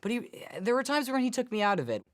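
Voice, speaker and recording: A man's voice: extremely high pitch, sing-song, fast talker. A man chatting casually as a panelist in a movie review discussion.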